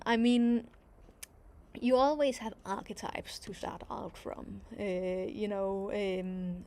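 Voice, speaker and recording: A woman's voice: on the low side, vocal fry, darkish tone. Danish woman answering stream questions. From Denmark. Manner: reads viewer questions aloud, then answers thoughtfully with many fillers. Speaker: animation creator hosting a stream. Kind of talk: casual livestream Q&A.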